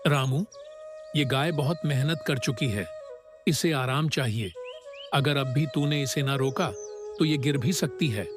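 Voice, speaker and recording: A man playing a concerned, firm village advisor giving caution in an animated moral story. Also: mid-range voice, a little slow, over music, lightly acted. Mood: warning.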